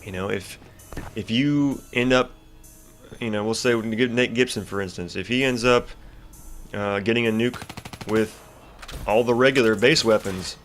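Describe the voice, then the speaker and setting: A man's voice: on the low side, normal speed, long pauses, a bit bright, faint music behind. Congested young man ranting over gameplay. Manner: rambling, frustrated ranting with frequent fillers and restarts. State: congested, stuffy nose. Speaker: gaming YouTuber commentating gameplay. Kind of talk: casual gameplay commentary.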